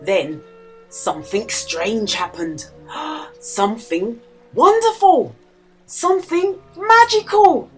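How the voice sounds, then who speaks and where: A woman reading a children's storybook aloud as a storyteller, with dramatic emphasis and deliberate pauses for young listeners. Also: fairly high pitch, sing-song, faint music behind, poor audio. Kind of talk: expressive children's storybook read-aloud with quiz.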